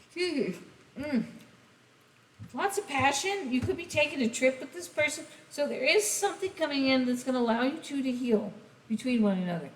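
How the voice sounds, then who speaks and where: A woman giving a casual tarot reading, rambling and speaking straight to the listener, her voice going hoarse. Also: high-pitched, expressive, brisk. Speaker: tarot reader. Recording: echoey room, faint hiss.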